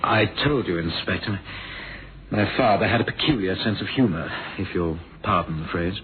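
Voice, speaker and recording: An actor playing an evasive, sardonic young heir under suspicion in a radio mystery drama, speaking in a hesitant, clipped way. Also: male voice, sits low, moderate pace, natural voice. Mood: defensive. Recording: noisy, phone-like old radio recording.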